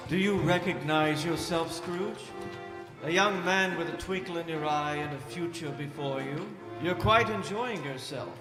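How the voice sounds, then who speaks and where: An actor playing a commanding, probing spirit in a deliberate radio-play style, in an echoey hall. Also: male voice, a little high, natural voice. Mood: knowing. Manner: deliberate, commanding, pointed questioning. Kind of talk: live radio-play stage acting. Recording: echoey hall, music behind.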